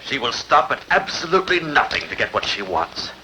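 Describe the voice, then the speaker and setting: A man's voice: medium pitch, sing-song, a little fast, bright, forceful tone, a little hiss or hum, poor audio. A man playing a scheming, determined rival of a queen in a dubbed epic film, menacing and dramatic. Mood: menacing, resolute.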